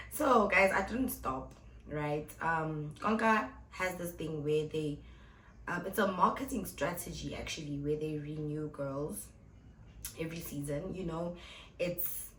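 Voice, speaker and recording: A woman's voice: low-pitched, slow, long pauses, fairly bright tone. Chatty young woman in an echoey room. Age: 20s. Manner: chatty, blunt and playful, addressing viewers as guys. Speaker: vlogger and club host. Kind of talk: casual Q&A vlog to camera.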